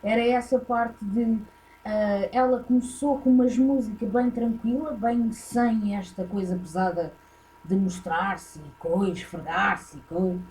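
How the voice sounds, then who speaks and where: A woman's voice: mid-range voice, steady tone, measured pace, a little mellow, low-quality recording. A woman with a European Portuguese accent, hosting a casual reaction video and giving blunt, opinionated commentary full of fillers.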